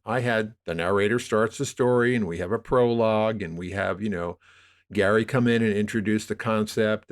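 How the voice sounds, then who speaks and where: A man in his sixties talking as an interview guest, telling stories conversationally in a halting, emphatic way as he thinks aloud. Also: low voice. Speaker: podcast guest, audio drama producer.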